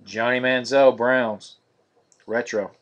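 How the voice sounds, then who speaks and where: Male card-break host, mid pitch, flat steady delivery, live-stream feel. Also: takes it slowly. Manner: monotonous, steady listing of cards.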